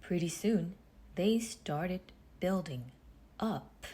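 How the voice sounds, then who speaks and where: A woman reading a children's storybook aloud in measured phrases with deliberate pauses, her husky voice turning breathy as phrases end. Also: fairly low pitch, expressive, noisy background. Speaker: storybook reader. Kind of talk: children's read-aloud narration.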